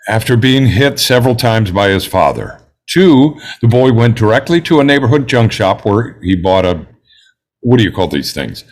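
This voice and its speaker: A man playing a composed, self-assured juror in a script table read, speaking in a measured, precise way. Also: on the low side, lively intonation.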